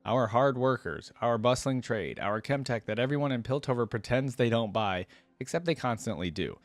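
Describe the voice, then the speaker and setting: A man's voice: medium pitch, animated, normal speed, dry recording, natural voice. A man reading a first-person story as a downcast, bitter young male inventor from a smoggy slum, in a plain, resigned manner.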